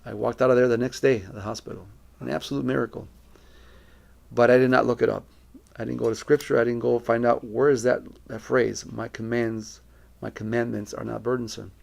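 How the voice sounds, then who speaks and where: A middle-aged man with a very creaky, gravelly voice at an ordinary male pitch. He gives a personal testimony as a missionary, speaking earnestly and reflectively, choosing his words with deliberation. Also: steady tone, brisk, darkish tone. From Colombia.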